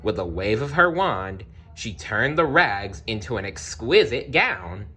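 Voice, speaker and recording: A man reads a children's story aloud with expression. His voice is fairly low, bright and a little raspy, and he takes his time with each phrase. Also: sing-song, unhurried. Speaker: storybook reader.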